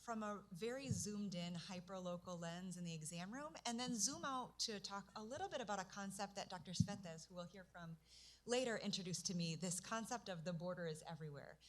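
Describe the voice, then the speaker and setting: A soft-spoken woman, a pediatrician, giving an earnest conference presentation. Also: mid-range voice, fairly quick. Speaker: pediatrician speaker.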